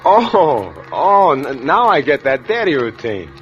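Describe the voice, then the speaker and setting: A man's voice: a little high, sing-song, measured pace, a bit bright, slight background noise. A haunted gunman, old radio drama. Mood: gentle, surprised. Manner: hesitant, stammering, emotional delivery. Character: smitten male gunman, tense and haunted, narrating his crime. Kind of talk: 1940s radio acting, first-person narration and dialogue. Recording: muffled vintage radio recording with music.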